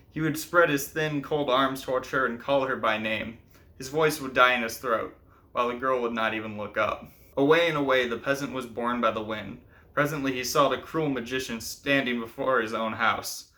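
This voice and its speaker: Male storyteller-host, mid-range voice with a bright, tense edge, for folklore narration and commentary. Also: mid-range voice, normal speed. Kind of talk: scripted fairy tale narration, then explanatory commentary.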